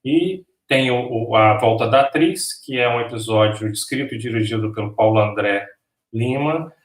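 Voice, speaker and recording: Stumbling filmmaker, distant mic in a roomy space. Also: male voice, medium pitch, normal speed. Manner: hesitant, many restarts and stumbles. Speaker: filmmaker at a panel. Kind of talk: answering questions in a panel talk. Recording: echoey room, far from mic.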